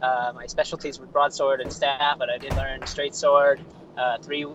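A man's voice: medium pitch, level tone, moderate pace, fairly bright tone, muffled recording, faint music behind. A man, a guest on a podcast interview, recounting his martial arts training in a matter-of-fact way. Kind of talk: casual interview.

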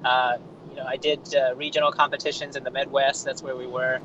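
A man's voice: medium pitch, level tone, a little fast, highs cut off, noisy background. A man, a guest on a podcast interview, recounting his martial arts training in a matter-of-fact way. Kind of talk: casual interview.

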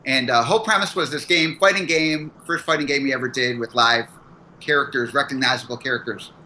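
A man tells a story as a guest in a podcast interview, halting often with fillers. His tone is bright and forceful at an ordinary pitch, with a faint hiss behind. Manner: hesitant, many fillers. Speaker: guest, martial arts teacher. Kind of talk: casual interview.

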